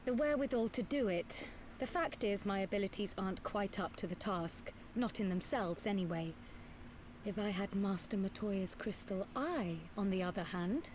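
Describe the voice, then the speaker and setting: A woman's voice: medium pitch, moderate pace, darkish tone, a little hiss or hum, natural voice. A woman playing a small, teasing, self-assured fantasy scholar in a video game, with wry, precise and formal phrasing. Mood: businesslike.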